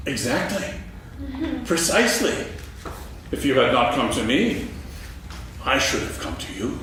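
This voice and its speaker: A pompous, absentminded owl acted by a man speaks slowly from a distance in a children's stage reading. The delivery is ponderous and self-important, with extravagant rises and falls. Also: medium pitch, big pitch swings, fairly bright tone. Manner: pompous, ponderous, hesitant bluffing. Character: pompous, absentminded old owl pretending to be wise. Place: on stage in a hall, recorded from afar. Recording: echoey hall, distant, faint noise.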